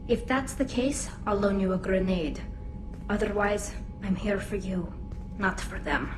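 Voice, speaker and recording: Woman voicing a young female alien engineer, mid pitch, echoey sound, wary, proud, dryly defiant, game music behind. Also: unhurried, natural voice. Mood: distrustful, defiant. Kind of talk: video game cutscene acting.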